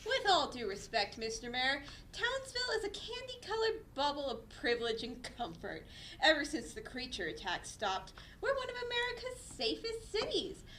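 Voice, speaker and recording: A woman's voice: high-pitched, huge pitch swings, normal speed, a bit bright, heavily acted. A woman playing a mayor's frank, dry-witted secretary with pointed delivery in a comedic table read. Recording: faint background noise.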